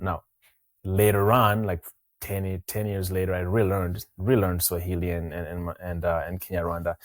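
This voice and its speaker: A man with a very low, deep voice hosts a relaxed podcast conversation. He talks in a halting way, stopping and restarting his sentences often. The sound is dry and close, with little room echo. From Mozambique. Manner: halting, many restarts and fillers. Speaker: podcast host. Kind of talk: casual interview chat.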